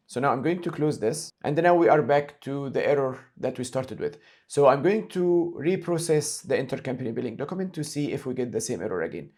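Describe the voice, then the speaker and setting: A man narrating a screen-share software tutorial as an instructor, explaining each step methodically and repeating key points. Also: mid-range voice.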